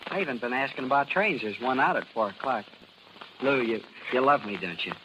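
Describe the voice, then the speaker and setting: Casting: actor for ardent young American country lad, boyish and plain-spoken, highly animated, noisy old radio drama. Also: male voice, a little fast, muffled recording, natural voice. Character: earnest lovesick country youth, naive carnival barker's son. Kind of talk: 1930s radio acting. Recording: noisy vintage 1930s radio recording.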